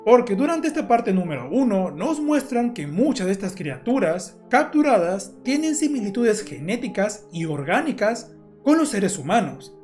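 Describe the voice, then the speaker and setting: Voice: medium pitch, sing-song, moderate pace, darkish tone, faint music behind. A narrator, presenting a scripted video essay in an explanatory storytelling way. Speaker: host and narrator of a horror analysis channel.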